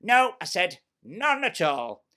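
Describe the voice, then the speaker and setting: A man's voice: high-pitched, sing-song, slow, sharp tone, pitched above the performer's own voice, full character voice. A man voicing a vain, jealous pantomime step-sister with a British accent, telling a story theatrically with snide, self-important, chatty asides. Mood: indignant.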